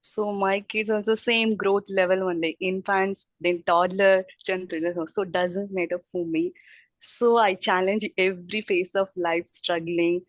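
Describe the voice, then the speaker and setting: A middle-aged woman with an Indian accent introduces herself informally, rambling and effusive in halting English. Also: mid-range voice, steady tone, no echo. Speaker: childcare teacher introducing herself. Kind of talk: informal self-introduction at a group meeting. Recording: dull, muffled audio.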